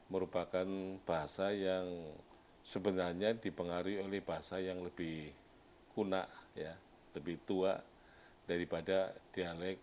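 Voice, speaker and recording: Deep-voiced scholar explaining. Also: male voice, a little hiss or hum. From Banyumas region, Central Java. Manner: thinking aloud, many restarts and tag words. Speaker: language scholar and dictionary compiler. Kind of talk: documentary interview.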